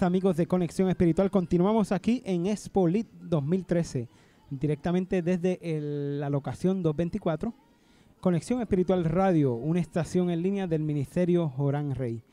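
A man's voice: sits high, mellow. A man, hosting a radio interview at an expo booth with a handheld mic. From Puerto Rico. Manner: friendly, announcer-like delivery. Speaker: radio host.

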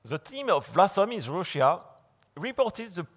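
A man lecturing in English, which is not his first language, in a large dark hall. He speaks through a podium mic, with many fillers and restarts. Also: sits high, big pitch swings, measured pace, slightly rough. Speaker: conference speaker. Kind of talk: slide lecture.

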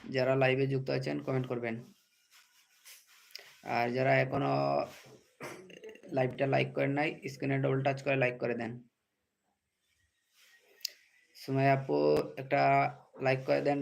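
A man's voice: medium pitch, completely flat delivery, a little slow, gravelly, pauses often. A man hosting a casual livestream, speaking directly to commenters and often repeating his phrases. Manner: repetitive, addressing commenters directly. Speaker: livestream host. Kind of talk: casual livestream chat with viewers.

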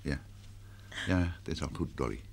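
An actor playing a courteous, composed gentleman detective in an old radio drama, measured in manner, on a noisy old recording. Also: extremely low pitch, expressive, vocal fry, full character voice. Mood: soothing, probing. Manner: soothing, measured, probing.

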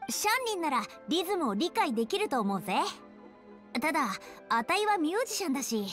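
A young tomboy rock musician in an anime game. She is brash and friendly in rough slang. The high voice moves in lively steps, with faint music behind. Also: fast. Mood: encouraging. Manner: tomboyish slangy speech, encouraging. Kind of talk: Japanese anime game voice acting.

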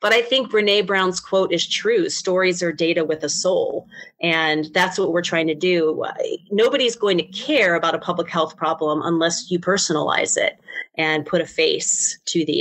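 A woman's voice: deep voice, fairly bright tone, slightly rough. A middle-aged American woman speaking as a researcher in an interview, explaining things over a video call. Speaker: academic researcher, interview guest.

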